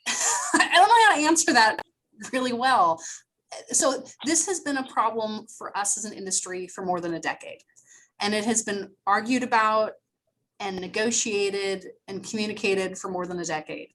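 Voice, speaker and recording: A woman's voice: medium pitch, moderate pace, fairly bright tone, rough recording. A woman on library staff speaking in a meeting over a video call, conversational and explanatory, with frequent restarts. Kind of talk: meeting discussion.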